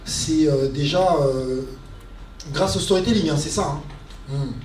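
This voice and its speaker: A man in his forties answering a question at a conference stand on a handheld mic, halting with many fillers and repeats. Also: on the high side, a little mellow, recorded from a distance, slight background noise. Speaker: guest speaker. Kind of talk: casual panel answer. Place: conference media stand, indoors. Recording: echoey hall, heard from afar.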